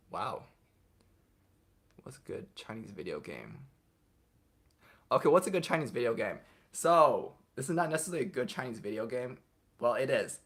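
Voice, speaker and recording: Husky-voiced young man chatting on a livestream. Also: mid-range voice. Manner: relaxed, reads chat comments aloud and answers off the cuff. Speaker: livestream host answering chat. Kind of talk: casual livestream chat.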